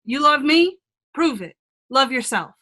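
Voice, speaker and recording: A woman's voice: high-pitched, lively intonation, a little slow, noisy background. A woman playing a wise, frank older self from a magic mirror in a radio play, earnest and reassuring. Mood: encouraging, firm. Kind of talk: comedic radio play acting.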